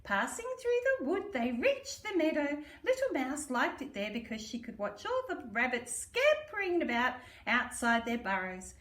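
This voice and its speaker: Lively high-voiced Australian woman reading to children. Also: moderate pace, few pauses. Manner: sing-song, affectionate, theatrical, addressing small children. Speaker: primary school story reader. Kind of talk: children's storybook read-aloud. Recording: roomy echo, distant microphone.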